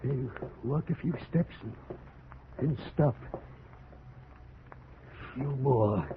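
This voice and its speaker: An actor playing a short-tempered, domineering planter husband in an old-time radio drama, blustering and uneasy, on a thin, noisy vintage recording. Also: male voice, mid-range voice, slow pace, phone-quality sound, natural voice. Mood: hushed, uneasy. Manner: blustering, barking orders.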